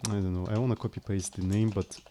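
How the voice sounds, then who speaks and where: A man's voice: lowish voice, fairly even intonation, quick pace, mellow, slight background noise. A man live-streaming his coding, speaking English as a second language in a hesitant, apologetic way with frequent restarts. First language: not English. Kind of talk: casual live stream chat, explaining code.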